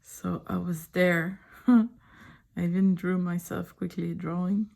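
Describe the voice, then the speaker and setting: A middle-aged woman with a French accent, speaking French as her first language, chats softly, often in a whisper, while showing her sketchbook drawings at home. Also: lowish voice, little pitch movement, slow, vocal fry. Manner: soft-spoken, often whispering. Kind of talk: casual chat.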